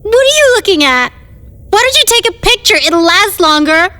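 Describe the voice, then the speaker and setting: A woman's voice: extremely high pitch, sing-song, normal speed, sharp tone, in a large hall, full character voice. A young woman acting in an audio drama as a moody, insecure teenage girl who bosses a 1950s gang, wistful and defensive. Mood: upset.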